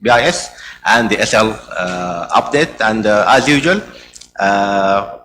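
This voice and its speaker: A man's voice: on the low side, slow pace, pushed and bright, a little hiss or hum. A man whose first language is Arabic, chairing a conference session, speaking hesitantly with many fillers and restarts. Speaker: meeting chair presenting the agenda. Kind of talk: conference presentation. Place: conference hall.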